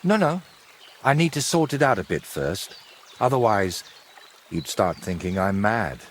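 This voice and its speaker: A brooding low-voiced medieval painter. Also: male voice, very animated, a little slow, slight background noise. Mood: teasing. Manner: wry, teasing, evasive. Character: brooding, secretive medieval painter, sardonic and obsessive. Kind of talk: dramatic dialogue in a cinematic historical game.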